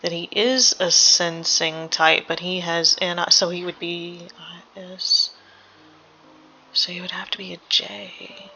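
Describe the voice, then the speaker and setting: A woman giving casual, analytical game reaction commentary, hesitant and thinking out loud. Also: on the low side, fairly even intonation, a little slow, slight background noise, low-quality recording. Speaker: reaction video host.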